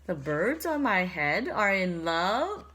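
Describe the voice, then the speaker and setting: A woman's voice: on the low side, sing-song, takes it slowly, fairly bright tone, voice pushed down, heavily acted. A woman voicing an anxious male elephant in a storybook, with fretful, exclaiming questions. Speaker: storybook character.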